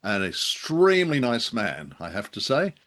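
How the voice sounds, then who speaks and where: An older man chatting casually as a show co-host, jokey and anecdotal, with halting hesitations. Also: medium pitch, huge pitch swings, a bit bright. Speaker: co-host of a radio drama show. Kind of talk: casual bantering chat.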